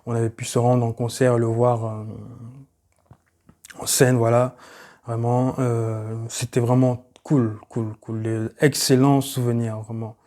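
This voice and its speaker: A man's voice: medium pitch, a little slow. A man in his late twenties, originally from Cameroon, chatting casually in a vlog with loose, rambling talk full of fillers. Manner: relaxed and rambling, many fillers like voilà and euh. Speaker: YouTuber.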